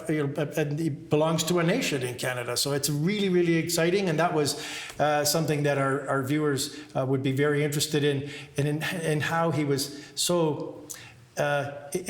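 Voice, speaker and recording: A man co-hosting an interview show, speaking enthusiastically and often repeating words as he talks. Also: fairly high pitch. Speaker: co-host. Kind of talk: conversational interview talk. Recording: echoey room.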